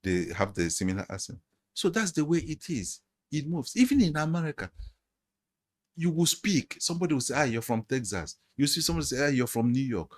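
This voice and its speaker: A man's voice: on the high side, very animated, fairly quick. A man, a guest analyst in a conversational interview, explaining emphatically and repeating his phrases.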